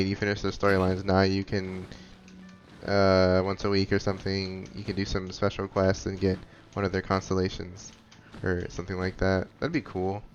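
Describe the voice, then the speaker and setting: A man's voice: fairly low pitch, clean tone, muffled recording. A man giving laid-back, rambling casual commentary over a video game. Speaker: gaming commentator.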